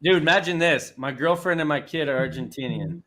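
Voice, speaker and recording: A man's voice: a little high, fairly quick, a bit bright. A young Canadian man hosting a casual sports chat show, talking in loose rambling restarts with easy laughs.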